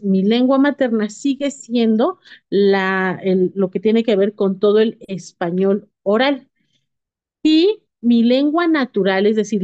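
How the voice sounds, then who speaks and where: A woman gives a lesson in an even, explanatory manner, now and then pausing on a filler or correcting herself. Her voice is mellow and soft-edged. The recording is dry and a little dull. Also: mid-range voice, no room sound. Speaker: teacher.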